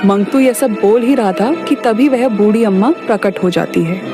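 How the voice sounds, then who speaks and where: A woman's voice: mid-range voice, normal speed, over music. A woman narrator reading a scripted moral story for a cartoon.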